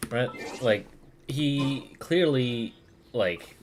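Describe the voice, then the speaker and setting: A man livestreaming a game, chatting casually in a rambling, halting way as he searches for words. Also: medium pitch, animated, background noise. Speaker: game streamer. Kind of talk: casual livestream commentary, replying to chat.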